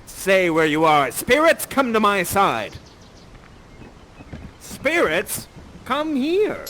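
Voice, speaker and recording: A man's voice: high-pitched, huge pitch swings, extremely slow, sharp tone, a little hiss or hum, full character voice. A man playing a commanding, scheming magician father in a stage play, with theatrical, archaic diction. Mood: imperious.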